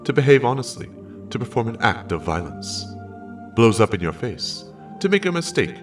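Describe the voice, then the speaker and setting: A man's voice: medium pitch, sing-song, normal speed, lightly acted. A man voicing an impassive message machine that announces transcripts and analysis in terse, official fragments. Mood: impassive, procedural. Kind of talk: scripted sci-fi system announcements. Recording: distant voice over music.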